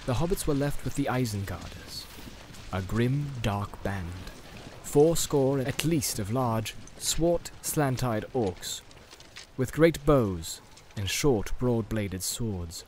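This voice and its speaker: A male audiobook narrator tells a fantasy story in a dramatic, scripted style. His voice sits at a middle pitch. A faint ambient soundscape runs behind him. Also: lively intonation, slow, a little mellow, a little hiss or hum.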